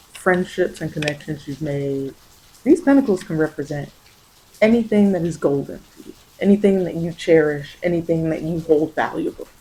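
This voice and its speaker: A tarot reader giving an improvised ASMR reading, rambling and musing, often halting and circling back to repeat phrases. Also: mid-range voice, mellow, slight background noise, poor audio.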